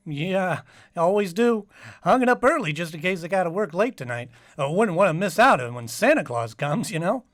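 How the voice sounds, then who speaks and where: A childlike, earnest detective sidekick, acted out for an old-time radio crime parody. He rambles on in a folksy, repetitive way, his pitch bouncing up and down. The recording is dry and close. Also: lively intonation, normal speed, no room sound, lightly acted. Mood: folksy, chatty.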